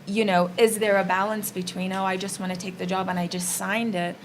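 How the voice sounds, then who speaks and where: A woman's voice: mid-range voice, level tone, a little fast. A woman panelist speaking conversationally in a casual discussion, slipping into imagined dialogue as she explains. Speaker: panelist, actress. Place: festival roundtable, live event. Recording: noisy room ambience.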